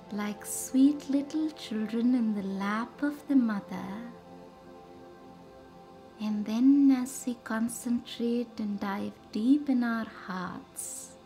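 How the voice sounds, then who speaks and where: Female meditation guide, unhurried and mellow with a touch of fry, over a music bed. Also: on the high side. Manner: soothing, measured delivery in short pausing phrases. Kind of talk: guided meditation with slow, reverent visualisation.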